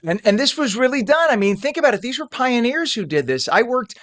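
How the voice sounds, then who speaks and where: A man's voice: extremely high pitch, sing-song, fairly quick, fairly bright tone. An older man interviewing in an enthusiastic, conversational way, effusive and emphatic as host. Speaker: interview host, former radio disc jockey.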